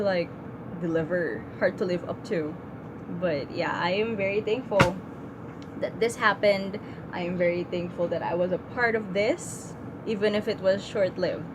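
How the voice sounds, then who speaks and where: A woman's voice: mid-range voice, moderate pace. A young woman vlogging in a casual, heartfelt way, with plenty of ums and likes. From Philippines. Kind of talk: casual reflective vlog. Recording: noisy room with air conditioning hum.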